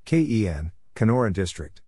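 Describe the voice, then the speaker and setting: A man giving a scripted read-out as an instructor, spelling letters and saying names in short, measured, separate phrases. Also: fairly low pitch, big pitch swings, mellow. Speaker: Morse code practice instructor. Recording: noisy recording with clicks.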